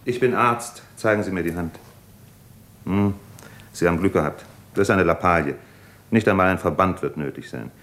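A man playing an introspective young doctor in a radio drama, with a husky, breathy voice. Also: low-pitched, moderate pace, long pauses, a bit bright. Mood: matter-of-fact. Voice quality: husky, breathy, at times near whisper. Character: young male doctor, brooding, introspective, haunted. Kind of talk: German radio drama acting, inner monologue.

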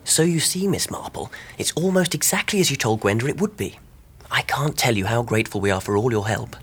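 A young man playing an eager, determined newlywed amateur sleuth in a radio drama, with an animated and decisive manner. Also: mid-range voice, a little fast, slight background noise. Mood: grateful. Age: young adult.

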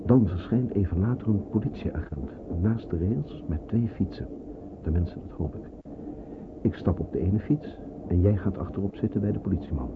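An actor playing a cautious, protective resistance escort in a radio drama, giving measured, reassuring instructions with quiet authority. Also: male voice, low-pitched. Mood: firm, instructing. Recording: muffled, noisy old radio play recording.

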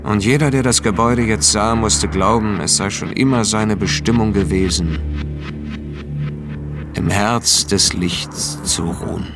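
A world-weary noir hero narrating a science fiction radio play. His voice sits low, and he speaks in terse, deliberate, brooding phrases. Loud music plays beneath him. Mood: somber, reflective. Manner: terse, deliberate, hard-boiled. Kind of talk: scripted radio drama narration.

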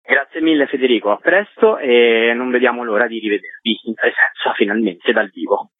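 Husky man on a phone-like line. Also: medium pitch, brisk, no echo. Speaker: interview guest. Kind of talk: radio interview. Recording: dry, narrow phone-like line.

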